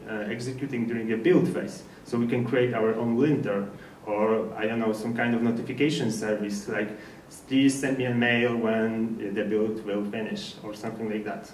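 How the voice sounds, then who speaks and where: A man from Poland with a Polish accent gives an informal technical presentation in slightly halting non-native English. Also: fairly low pitch. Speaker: speaker at a developer meetup. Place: indoor meetup presentation room. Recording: echoey room, distant mic, faint noise.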